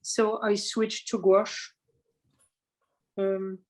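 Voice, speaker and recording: A woman's voice: mid-range voice, little pitch movement, extremely slow, darkish tone. A woman art student on a video call, hesitating and searching for words as she explains her painting. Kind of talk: casual chat.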